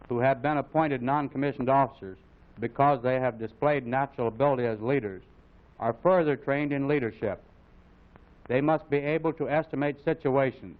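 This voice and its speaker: An American man reading scripted military instructional narration in a formal, authoritative, measured way, as on a mid-century training film. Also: medium pitch. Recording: phone-like vintage film soundtrack, narrow band.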